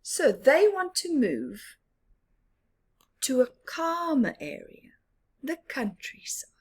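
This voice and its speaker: A woman reading a picture book aloud to children with expressive, drawn-out emphasis and asides about the story. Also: high-pitched. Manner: animated, drawn-out emphasis with whispered words.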